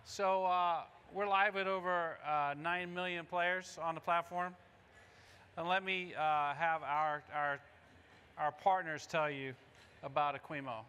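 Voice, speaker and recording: A man's voice: on the high side, steady tone, measured pace, bright, forceful tone, smooth, muffled recording. A man in his fifties giving an informal live product demo on stage, talking to the audience in short phrases. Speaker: presenter pitching a product demo. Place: stage in a large indoor conference hall.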